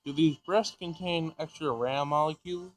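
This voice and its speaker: Man reading as a formal, halting android girl. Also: on the high side, lightly acted. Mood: probing. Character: formal, literal-minded android in teenage girl's body. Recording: music behind the voice.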